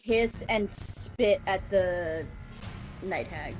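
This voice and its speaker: A woman at a medium pitch talks slowly through her moves in a tabletop game. She thinks aloud casually and hesitantly, with music playing clearly behind her. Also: poor audio. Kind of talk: casual unscripted game play chat.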